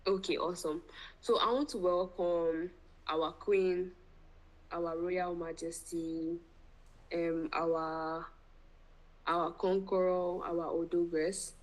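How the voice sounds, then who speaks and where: A Nigerian woman with a slightly low voice hosts a live virtual celebration. She talks effusively and playfully, piling on grand flourishes. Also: steady tone, takes it slowly, poor audio. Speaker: event host. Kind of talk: live virtual event hosting.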